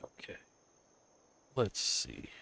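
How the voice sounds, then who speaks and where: A man's voice: drawn-out words, bright, forceful tone, slight background noise. A man with a cold, stuffy and sniffly, casually thinking aloud as he builds in a game on a live stream. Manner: thinks aloud, muttering to himself and chuckling.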